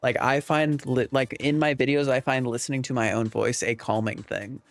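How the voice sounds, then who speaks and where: A man's voice: medium pitch, level tone, normal speed. A man hosting a livestream, chatting casually and rambling as he responds to viewers. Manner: rambling, conversational. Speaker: livestream host. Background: soft background music.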